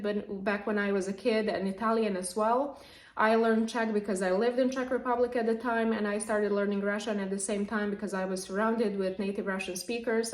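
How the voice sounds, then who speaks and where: Woman, Serbian native, young adult, vlogger, lively explainer, reverberant room. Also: fairly high pitch. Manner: lively, explanatory, with frequent fillers. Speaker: language vlogger. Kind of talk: casual talk to camera. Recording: echoey room.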